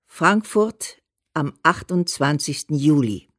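A woman's voice: low voice, lively intonation, slow, a bit bright, no echo, clean studio sound, full character voice. An actress playing an earthy, humorous elderly mother with a Hessian accent, telling folksy letters in a radio play. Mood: amused, chatty. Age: older. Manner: leisurely, folksy storytelling.

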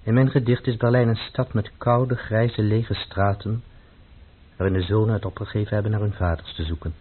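An actor playing a stern, devout, grave poet in a radio play, speaking in a measured, formal way. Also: male voice, lowish voice, steady tone, slightly rough. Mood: grave, earnest. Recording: muffled, phone-like old radio recording.

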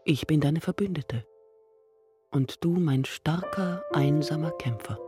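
An actress playing a devoted, mysterious admirer in a radio drama, making lofty, poetic declarations. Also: female voice, low voice, measured pace, dark tone, soft background music. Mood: adoring. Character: devoted, mysterious female admirer, solemn and romantic.

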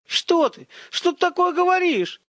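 A man's voice: very high-pitched, sing-song, takes it slowly, a little mellow, no echo, pitched above the performer's own voice, exaggerated voice. A man voicing a desperate father searching for his missing daughter, imploring and flustered, near tears, in an audiobook. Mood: distraught.

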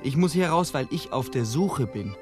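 An actor playing a naive, excitable young prince in a fairy-tale radio play, earnest and melodramatic. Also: male voice, mid-range voice, moderate pace, lightly acted. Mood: earnest, eager. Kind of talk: German radio play acting. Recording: studio radio play with music behind.

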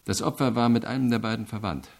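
A man playing an earnest, reflective investigator in a radio crime drama, explaining things in a measured, deliberate way. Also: on the low side, vocal fry, darkish tone. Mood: earnest, intense. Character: earnest, reflective male investigator reporting to his superior.

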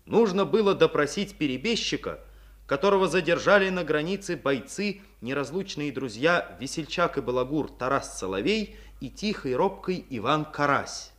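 A man's voice: a little high, expressive, fairly bright tone. A man reading scripted narration for a 1950s Soviet radio drama in a solemn, declamatory manner. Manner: solemn, declamatory, measured diction.

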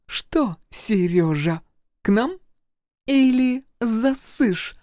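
A man voicing excited, teasing young women with a gleeful, squealing manner in a comic audiobook. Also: very high voice, normal speed, no echo, clean studio sound, pitched above the performer's own voice.

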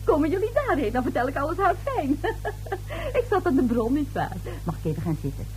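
A woman's voice: sits high, wildly swooping pitch, brisk, a little mellow, a little hiss or hum. An actress playing a tipsy, gossipy neighbour lady in a radio play, chatty, coy and giggly. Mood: breathless, chatty. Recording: old radio drama recording.

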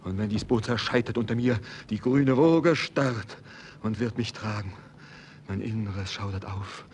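A man's voice: lowish voice, measured pace, a little mellow, a little hiss or hum, lightly acted. An actor playing a feverish, yearning man in an impassioned, declamatory classical verse radio drama. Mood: ecstatic resolve. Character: feverish, yearning man obsessed with a supernatural beloved.

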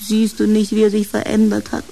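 A woman's voice: medium pitch, completely flat delivery, fairly quick, vocal fry, dark tone, a little hiss or hum, natural voice. An actress in a radio drama playing a resigned, motherly woman who laments and admonishes with pity.

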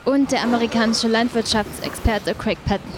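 A woman's voice: a little high, fairly quick, a crowd in the background, close to an everyday voice. An actress playing a probing radio reporter on location in a drama, composed and articulate as she asks questions. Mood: presenting. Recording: clear ambient noise of an animal barn.

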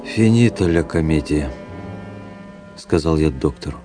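An actor playing a proud officer who somberly recounts his duel, in measured, literary radio-drama narration. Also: a bass voice, lively intonation, music in the background. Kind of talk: first-person dramatic narration in a radio play.

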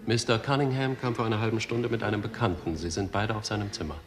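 An actor playing a formal, hesitant hotel desk clerk in a 1960s German crime radio play. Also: male voice, on the low side, steady tone, fast, natural voice. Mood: polite, hesitant. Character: polite, fussy male London hotel desk clerk. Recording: noisy old radio recording, clicks.

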